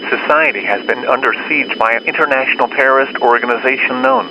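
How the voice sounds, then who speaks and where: A man's voice: mid-range voice, fast talker, highs cut off, noisy background. A man reading scripted official emergency warnings as a formal broadcast announcer. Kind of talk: scripted law enforcement warning narration.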